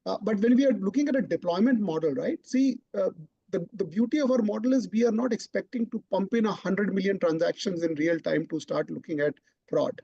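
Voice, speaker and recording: A man's voice: high-pitched, a little fast, creaky, dark tone, husky, no echo. A man, a startup chief executive, explaining his company's plans in an online question session, often repeating words as he thinks. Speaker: startup CEO presenting. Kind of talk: online business Q&A answer. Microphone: video call. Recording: dull lo-fi online call audio.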